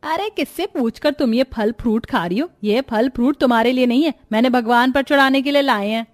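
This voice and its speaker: A woman's voice: high-pitched, brisk, no room sound, clean studio sound, soft background music, lightly acted. A woman voicing a stingy, scolding middle-aged mother-in-law in a cartoon story, with a bossy, nagging manner. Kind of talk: Hindi cartoon story voice acting.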